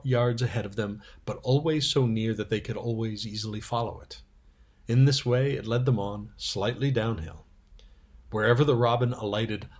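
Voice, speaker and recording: A man reading a children's story aloud as narrator, with a slightly husky voice. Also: medium pitch.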